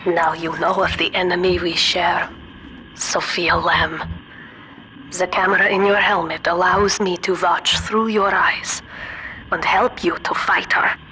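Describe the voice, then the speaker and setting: Woman acting a low-voiced German guide, grave. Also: flat delivery, unhurried, noisy background, lightly acted. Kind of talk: video game character dialogue.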